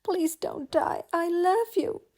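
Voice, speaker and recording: A woman's voice: extremely high pitch, takes it slowly, mellow, voice pushed up. A woman voicing a kind, earnest young storybook heroine in an emphatic, heartfelt way. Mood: distraught.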